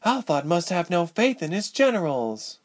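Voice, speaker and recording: A man's voice: sits high, very animated, measured pace, voice pushed up, heavily acted. A man voicing a defiant, bitter young woman sorceress in a storybook, with a sarcastic, biting manner.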